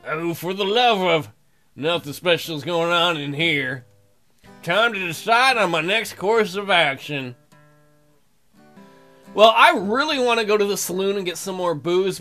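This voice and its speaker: A game commentator with a bright, lively voice that moves around a lot in pitch. The host reads the story aloud and slips in playful, bawdy asides, with faint guitar music behind. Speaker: let's play host. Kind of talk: comic game commentary, reading text aloud.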